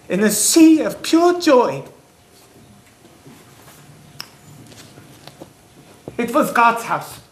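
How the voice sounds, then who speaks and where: Actor playing a slow, wistful, devout man, echoey stage. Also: very high-pitched, fairly bright tone, exaggerated voice. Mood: awed, reverent. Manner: dreamy, measured, dramatic storytelling. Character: devout, wistful imprisoned German man in love, recalling his youth. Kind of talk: theatrical stage-play monologue and dialogue. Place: stage, recorded with room echo. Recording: echoey stage with background noise and clicks.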